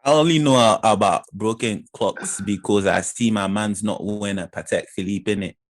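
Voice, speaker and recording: A man's voice: lowish voice, moderate pace. A man with a British accent, from Ghana, co-hosting a podcast in a joking, slangy way. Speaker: podcast co-host. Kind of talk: casual banter.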